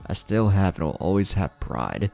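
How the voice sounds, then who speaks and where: A man reads as an earnest young ninja in an anime-style audiobook. He is polite and serious, in a low voice with a slight rasp of creak. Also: big pitch swings, moderate pace, warm tone, soft background music, heavily acted. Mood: apologetic.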